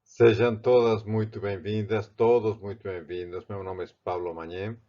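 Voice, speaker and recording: Spanish-accented man, low voice, muffled audio. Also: steady tone. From Spain, Barcelona. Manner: halting, with frequent restarts and fillers. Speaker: art teacher. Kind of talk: step-by-step art tutorial.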